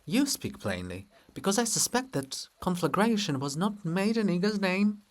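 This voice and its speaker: A man's voice: high-pitched, big pitch swings, moderate pace, voice pushed up. A man voicing a bold, sly, devoted sister in a theatrical, teasing, insistent stage reading. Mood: sly, challenging. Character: Sister Lybid, bold, sly, devoted female river spirit.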